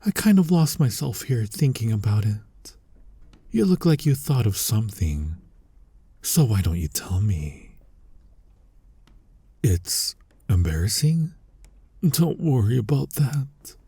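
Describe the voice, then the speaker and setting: A man playing a flirty, dominant incubus demon in an intimate ASMR roleplay, teasing the listener in a husky, breathy voice that often drops to a whisper. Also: mid-range voice, very animated, warm tone, no room sound, exaggerated voice. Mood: eager, flirty, then coaxing, reassuring. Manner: slow, sultry, teasing, addressing listener directly. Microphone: close mic, dry.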